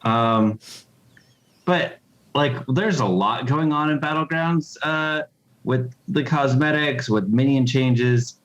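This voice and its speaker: A man chatting casually, answering in halting, evasive fragments. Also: mid-range voice, highs cut off. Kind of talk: casual chat.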